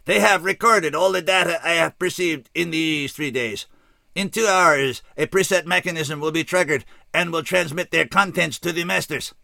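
Man voicing a slow, grave alien giant with an odd accent. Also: a little high, gravelly, pushed and bright, dry recording, voice pushed up. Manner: slow, formal, oddly accented speech. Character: towering gaunt male alien scout, weary and tormented.

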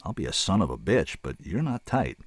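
A man voicing a shrewd, gruff bartender who speaks bluntly and plainly. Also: low-pitched, big pitch swings, fairly quick, no room sound, clean studio sound, exaggerated voice. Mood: dry. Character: gruff big-handed male bartender, shrewd.

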